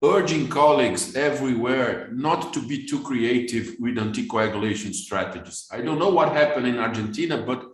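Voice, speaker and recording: A man with a Brazilian Portuguese accent, a vascular surgeon giving a fast webinar lecture in English that is not his first language, often repeating words as he restarts. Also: mid-range voice, reverberant, low-quality recording.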